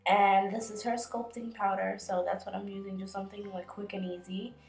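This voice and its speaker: A woman's voice: mid-range voice, flat delivery, brisk, vocal fry, darkish tone. Chatty young woman with a head cold. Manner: chatty, filler-heavy, explains each step. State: sick with a cold. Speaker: beauty vlogger. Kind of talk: casual makeup tutorial narration. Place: small bedroom. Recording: roomy bedroom echo, faint music behind.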